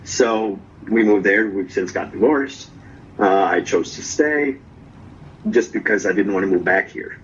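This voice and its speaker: A man from Norway, telling his story as a guest in a relaxed, casual chat and tagging his lines with "right?". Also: lowish voice, unhurried, lo-fi sound, slight background noise. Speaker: guest vlogger.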